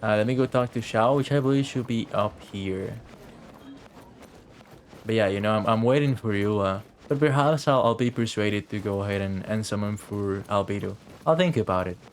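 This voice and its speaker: A man's voice: lowish voice, moderate pace, slight background noise. A young man doing casual livestream commentary over a video game, rambling and thinking aloud. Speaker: game streamer. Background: game music.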